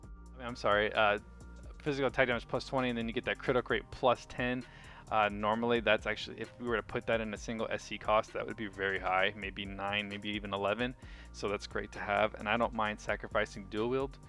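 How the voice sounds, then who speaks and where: A man's voice: on the low side, fairly even intonation, a little fast, pushed and bright. A man giving a casual game walkthrough commentary, informal and enthusiastic like a hobbyist sharing a setup. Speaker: gaming video creator. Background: music.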